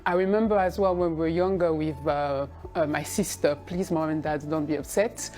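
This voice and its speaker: A woman from Burkina Faso, raised in Belgium, giving a measured, thoughtful account in an interview. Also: sits low, steady tone, darkish tone.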